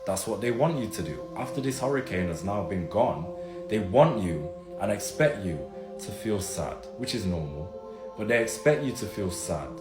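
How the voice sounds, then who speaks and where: A man's voice: fairly low pitch, big pitch swings, normal speed. A man of Jamaican and Turkish descent living in the UK, urgently warning viewers and repeating his pleas. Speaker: vlogger. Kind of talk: earnest direct-to-camera appeal. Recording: roomy sound with loud music under it.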